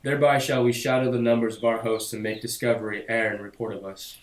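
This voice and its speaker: A noble war leader played by a man exhorts in a Shakespearean radio drama. His voice sits at a middle pitch, declamatory and resolute, with clear room echo around it. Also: flat delivery, quick pace, natural voice. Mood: commanding. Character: resolute young noble leader urging revenge. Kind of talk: Shakespearean radio play acting. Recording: echoey room.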